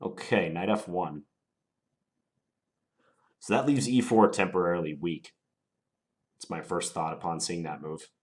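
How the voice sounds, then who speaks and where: Very deep-voiced man with a cold. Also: a little hiss or hum. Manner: deliberate, musing, unhurried analysis. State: stuffed up from a cold. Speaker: chess player commentating his own game. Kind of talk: thinking aloud while playing.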